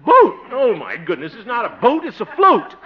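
A blustery, high-pitched small-town candidate. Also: male voice, wildly swooping pitch, normal speed. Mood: impatient, exasperated. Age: middle-aged. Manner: pompous, exasperated, dramatic. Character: blustery, easily flustered small-town mayoral candidate, middle-aged. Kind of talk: 1950s radio comedy acting. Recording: noisy, muffled old radio broadcast.

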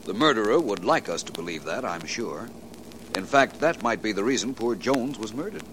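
A suave gentleman detective in a vintage radio play. He talks in a fairly low, lively, dry and assured way. The old broadcast carries a faint hiss. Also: moderate pace, low-quality recording. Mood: calm. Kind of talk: 1940s radio acting.

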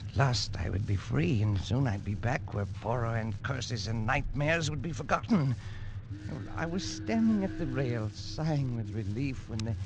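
An actor playing a haunted Englishman narrating his descent into madness in a halting, agitated way, in an old-time radio drama. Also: medium pitch, expressive, gravelly, background noise. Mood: haunted, weary. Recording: vintage radio broadcast with music.

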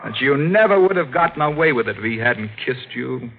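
Grave district attorney in radio mystery: accusing, measured, mid-pitched male, dull vintage broadcast. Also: lively intonation, gravelly. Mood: grave accusation. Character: stern, probing district attorney exposing a killer. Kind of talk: 1940s radio mystery acting.

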